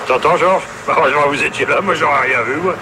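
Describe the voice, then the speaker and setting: A chatty camper, played by a man, with a somewhat high voice. Loud traffic noise runs behind him in the old film sound. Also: quick pace, lightly acted.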